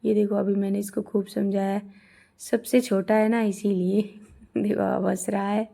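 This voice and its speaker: A woman in her thirties with a hoarse voice, chatting homely and warmly to her viewers as she narrates a travel vlog. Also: mid-range voice, level tone, moderate pace. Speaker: vlogger.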